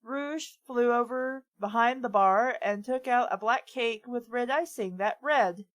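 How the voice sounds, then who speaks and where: A woman with a somewhat high-pitched voice. She hosts casually, chatting and reading aloud in an informal conversational style. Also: a little slow. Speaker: podcast host leading the discussion. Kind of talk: casual chat and read-aloud.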